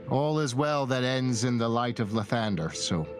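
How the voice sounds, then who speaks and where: A man playing a dying middle-aged clergyman and mentor in a tabletop game, weary and earnest, speaking in a halting, grave, formal way. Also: mid-range voice, expressive, close to an everyday voice. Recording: music behind the voice.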